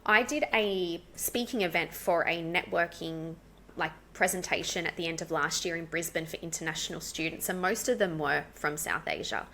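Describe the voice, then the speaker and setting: Australian woman, low gravelly voice, quick and animated, guest on an interview podcast. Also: slight background noise. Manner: fast and animated. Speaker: English coach, podcast guest. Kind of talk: casual interview chat.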